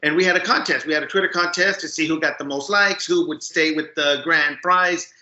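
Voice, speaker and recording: A man's voice: fairly high pitch, a little fast, sharp tone. A man in his fifties co-hosting a casual podcast, joking and switching between English and Spanish. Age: 50s. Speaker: podcast co-host. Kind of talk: casual bilingual podcast chat. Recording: echoey, muffled lo-fi remote audio.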